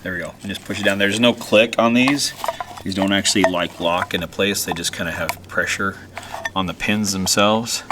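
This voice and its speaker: A man's voice: low voice, fairly even intonation, moderate pace, noisy background. A man hosting a DIY video, narrating hands-on work casually and informally, sometimes muttering to himself as he goes.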